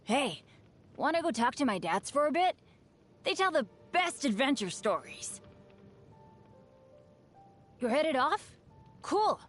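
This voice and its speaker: An eager teenage boy adventurer from a video game. The voice is boyish and fairly high, with lively swings in pitch. He sounds earnest and chatty, upbeat even about his own bad luck. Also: slow, long pauses, faint music behind, heavily acted. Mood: eager, friendly. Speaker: game character voice actor. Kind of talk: scripted game character voice lines.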